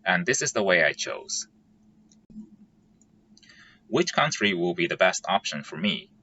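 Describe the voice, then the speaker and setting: A man's voice: sits low, big pitch swings, pushed and bright, dull audio, slight background noise. A man from Japan, giving a careful, methodical scripted explainer as a vlogger. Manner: careful, measured and methodical, lays out points in order.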